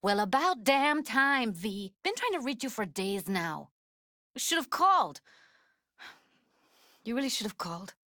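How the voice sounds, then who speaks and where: A woman's voice: a little high, big pitch swings, slow, long pauses, pushed and bright, no echo, studio quality, full character voice. A woman playing a guarded, blunt but tender young techie in a video game, speaking in a casual, clipped, streetwise way. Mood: upset, scolding. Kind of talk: naturalistic video game voice acting.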